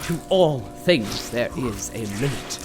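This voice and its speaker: An actor playing a grandiose, heroic chronicler, narrating an audio drama in a solemn, florid, declamatory manner. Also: male voice, mid-range voice, animated, slow pace, vocal fry. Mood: epic, mock-solemn. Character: grandiose, heroic male chronicler of city history. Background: music.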